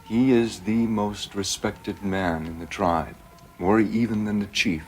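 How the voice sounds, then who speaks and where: Male, low register, composed TV-drama colonel played by an actor, deliberate and solemn, noisy soundtrack. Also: fairly even intonation, close to an everyday voice. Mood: solemn storytelling. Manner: measured, solemn storytelling with pauses. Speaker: military colonel. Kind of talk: television drama acting, storytelling.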